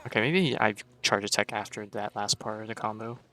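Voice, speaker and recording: A man's voice: fairly low pitch, a little fast, pushed and bright. A man giving casual, offhand commentary while playing a video game, thinking aloud as he goes. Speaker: streamer.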